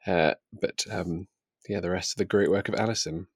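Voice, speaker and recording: A man's voice: deep voice, fairly even intonation, moderate pace, husky, dry recording, studio quality. A man co-hosting a casual book chat in a chatty, hesitant, understated way. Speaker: podcast co-host.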